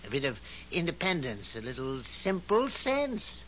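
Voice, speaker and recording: A long-suffering deity who tends the stars, theatrical and fed up. His voice sits a bit high and swings widely in melody. It comes from a faintly hissy 1970s radio drama recording. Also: wildly swooping pitch, measured pace, a bit bright, exaggerated voice, low-quality recording. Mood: scolding. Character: weary, exasperated godlike male overseer of the stars.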